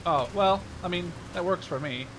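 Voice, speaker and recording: A man's voice: high-pitched, normal speed, noisy background. A man in his twenties from the Netherlands giving casual, rambling gameplay commentary with digressive storytelling. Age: 20s. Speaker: gaming video host.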